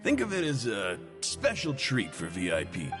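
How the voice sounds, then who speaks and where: A man's voice: fairly low pitch, huge pitch swings, normal speed. An actor playing a cheerful male canteen cook, eager to please, with friendly, chuckling service talk. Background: game background music.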